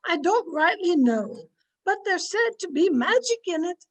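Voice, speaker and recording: Woman playing high-voiced old maidservant. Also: very animated, a little slow, heavily acted. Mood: solemn, mysterious. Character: devoted old Scottish maidservant, solemn and mysterious. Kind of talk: old-time radio drama acting. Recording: home recording over video call.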